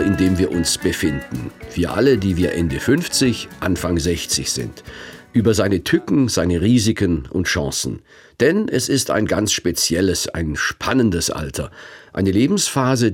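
A man's voice: low voice, husky. A man in his fifties hosting a radio feature, narrating in a reflective, wry first-person way. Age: 50s.

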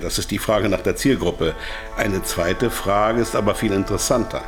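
A man acts out a captive pharma executive in a radio play, self-satisfied and evasive. His low voice lectures pompously. Faint music sits behind it. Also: normal speed, lightly acted. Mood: smug, lecturing. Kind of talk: radio drama acting.

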